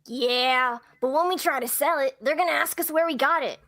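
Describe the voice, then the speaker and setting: A woman's voice: sits high, moderate pace, a bit bright. A woman playing a timid, anxious child sidekick in a vintage-style radio drama. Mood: worried. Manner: hesitant, anxious.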